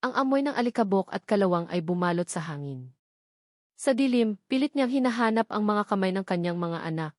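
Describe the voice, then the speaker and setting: A woman's voice: mid-range voice, lively intonation, a little fast, dry recording, studio quality. A woman with a Filipino accent reading a scripted, dramatic story as its narrator.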